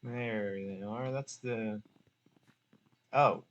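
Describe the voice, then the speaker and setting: A man's voice: lowish voice, steady tone, very slow, highs cut off, slight background noise. A man giving casual commentary as he plays through a video game. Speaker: gaming video creator. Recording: faint game music behind.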